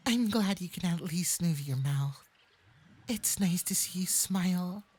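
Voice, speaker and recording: A man playing a young kitsune fox boy who is sweet, polite and quietly possessive, speaking gently and hesitantly in an intimate ASMR roleplay with a soft, breathy voice. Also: high voice, measured pace. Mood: tender, soft. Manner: gentle, hesitant, coy, conversational.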